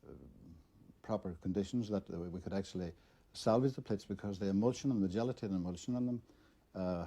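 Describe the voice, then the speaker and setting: A middle-aged Northern Irish man from Derry speaks in a low, very mellow and dark voice with a husky edge. He talks off the cuff as an interviewee, halting as he searches for words. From Derry, Northern Ireland. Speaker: interviewee, local photo archivist. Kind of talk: unscripted interview recollection.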